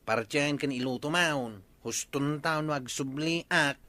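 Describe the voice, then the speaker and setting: A man's voice: on the high side, slow, bright, forceful tone, no echo. A man playing a cheerful, nosy neighbor who asks curious questions in a comic radio drama. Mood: jovial.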